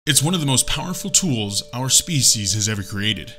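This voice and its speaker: A man's voice: mid-range voice, expressive, moderate pace, sharp tone, soft background music. A man working as a voice actor, reading scripted narration for a demo. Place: home recording setup.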